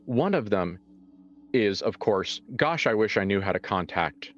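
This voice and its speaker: Low-voiced man narrating haltingly, slightly muffled. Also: expressive, a bit bright. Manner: halting, repeats and reworks phrases as he improvises. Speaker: game master. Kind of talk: improvised tabletop horror narration, conversational. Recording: muffled lo-fi sound, music behind. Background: background music.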